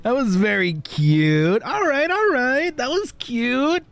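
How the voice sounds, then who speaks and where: A man livestreaming in a playful, casual way, chatting and reading stories aloud with a husky voice. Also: very high voice, expressive, slow pace, a bit bright, no room sound. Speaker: livestreamer, virtual streamer. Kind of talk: casual livestream chat and fan fiction reading. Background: background music.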